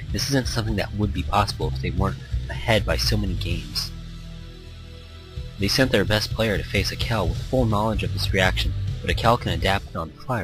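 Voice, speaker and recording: A man with a fairly low voice reads a visual novel game aloud as a let's play host. His storytelling is playful and a little theatrical, and music runs underneath. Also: low-quality recording. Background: background music.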